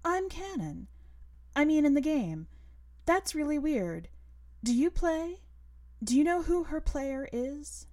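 A woman voicing an excited, friendly teenage girl gamer who talks in an eager, chatty, questioning way. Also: sits high, takes it slowly, no room sound, studio quality, voice pushed up, full character voice. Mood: surprised, eager.

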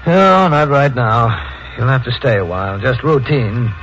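An actor playing a matter-of-fact police detective in a 1940s radio drama. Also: male voice, mid-range voice, very animated, measured pace, fairly bright tone, faint music behind. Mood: businesslike. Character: gruff, weary police detective. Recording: lo-fi old radio recording with faint hiss.

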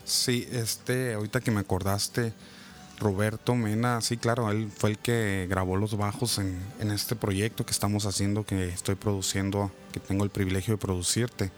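Man, radio host, low-ish voice, relaxed chatty, faint music underneath. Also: moderate pace. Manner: relaxed, chatty, religious asides. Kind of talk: live radio interview.

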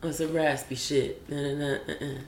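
A woman with a raspy voice co-hosting a podcast, chatting casually and laughing often. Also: deep voice, level tone, moderate pace, roomy sound, a little hiss or hum. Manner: laid-back, laughing often. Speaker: podcast co-host. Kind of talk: casual chat.

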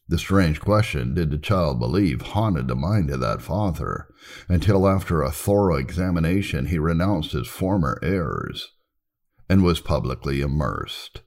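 A man narrating church history from a script in a solemn, measured, emphatic storytelling manner. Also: a bass voice, creaky, a little mellow. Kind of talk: scripted narration, reading aloud.

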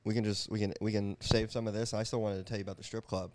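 A man's voice: fairly low pitch, level tone, quick pace, a little mellow, no room sound. A man guesting on a podcast, joking in a laid-back way and saying "dude" a lot. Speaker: podcast guest and stand-up comedian. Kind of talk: casual podcast banter.

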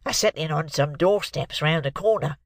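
A man voicing a slow-witted, nervous rough fellow in an audiobook, congested as if with a cold, hesitant. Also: fairly high pitch, no room sound, clean studio sound, natural voice. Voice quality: congested, thick with a head cold.

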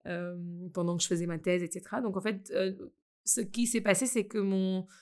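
A woman's voice: medium pitch, fairly quick, darkish tone. A middle-aged woman from India, talking hesitantly with many fillers as an interviewee about founding a school. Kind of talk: conversational interview.

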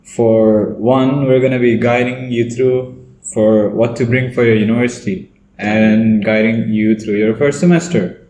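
A man's voice: mid-range voice, fairly even intonation, unhurried, a little mellow, roomy sound, slight background noise, rough recording. A young man from Pakistan, co-hosting a casual podcast and giving upbeat student advice. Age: young adult. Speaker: podcast co-host. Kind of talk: casual chat.

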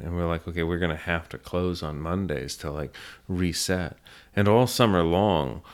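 A man being interviewed as a business owner, telling his story conversationally and often pausing mid-sentence. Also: sits low.

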